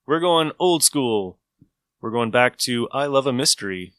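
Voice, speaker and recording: A man hosting a podcast in casual chat about old radio shows, telling things in an animated way. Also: mid-range voice, measured pace, clean tone, no echo, clean studio sound. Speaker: podcast host.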